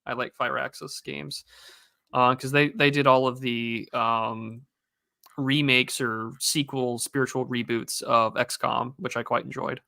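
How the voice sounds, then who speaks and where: A man's voice: mid-range voice, fairly even intonation, unhurried, creaky, bright, forceful tone, dry recording. A young man hosting a podcast in casual chat, hesitant and restarting often. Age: young adult. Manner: hesitant, many fillers and restarts. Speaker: podcast host and streamer.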